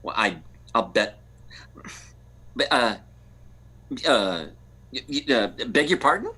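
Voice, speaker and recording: A man's voice: a little high, huge pitch swings, sharp tone, heavily acted. A man playing a melodramatic, easily flustered bachelor playboy in 1940s-style radio comedy, with a theatrical delivery. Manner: drawn-out, theatrical, stammering when flustered.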